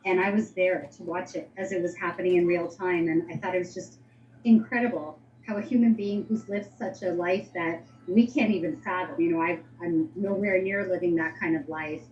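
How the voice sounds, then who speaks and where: A woman's voice: on the low side, fairly even intonation, moderate pace, darkish tone. A woman from Lebanon, a singer-songwriter, talking casually as an interview guest. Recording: dull lo-fi link, faint noise.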